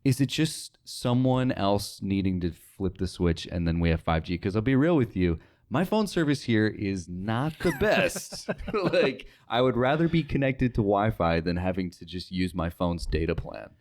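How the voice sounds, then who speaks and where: Young adult male, low-pitched, informal podcast guest who muses as he talks. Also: sing-song, normal speed, few pauses, a little mellow. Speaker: podcast guest, radio sales associate. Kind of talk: casual podcast chat.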